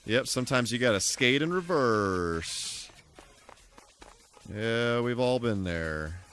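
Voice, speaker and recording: A man's voice: medium pitch, animated, takes it slowly, fairly bright tone, a little hiss or hum. A man giving casual live commentary while playing a video game, full of mild folksy exclamations and mock-exasperated asides. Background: music.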